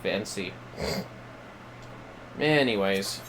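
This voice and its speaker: A man's voice: fairly low pitch, lively intonation, drawn-out words, fairly bright tone, a little hiss or hum, rough recording. A man giving casual, slangy commentary to himself while livestreaming a video game. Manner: informal, slangy. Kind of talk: casual gaming commentary, muttering to self.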